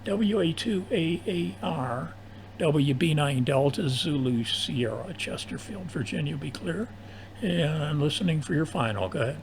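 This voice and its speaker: An older man chatting casually over amateur radio in long turns, with a halting, hesitant delivery. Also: fairly high pitch, lively intonation. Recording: noisy amateur AM radio audio.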